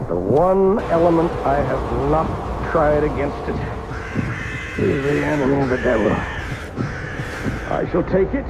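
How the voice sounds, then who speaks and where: An actor playing a guilt-ridden, doomed man in an old radio horror monologue, brooding and dramatic with deliberate phrasing. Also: on the high side, expressive, heavy background noise. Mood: grim, despairing. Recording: old radio broadcast recording. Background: loud dramatic music.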